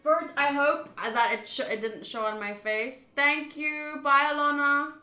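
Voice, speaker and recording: A woman streaming a visual novel playthrough, reading the text aloud and chatting with viewers in an expressive way. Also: sits high, unhurried, echoey room, soft background music. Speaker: game streamer.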